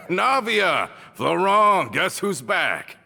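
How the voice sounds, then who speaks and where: An actor playing a tough, friendly, talkative gang member in a video game, with an expressive and easygoing manner. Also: male voice, high voice, extremely slow, gravelly, a little hiss or hum, heavily acted. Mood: jovial. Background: game music.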